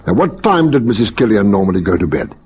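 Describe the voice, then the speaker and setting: An actor with a South African accent playing a brooding, probing police lieutenant in a radio crime drama, ruminative yet pressing. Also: male voice, lowish voice, big pitch swings, quick pace, a little mellow, slight background noise. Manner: ruminative, hesitant, then pressing.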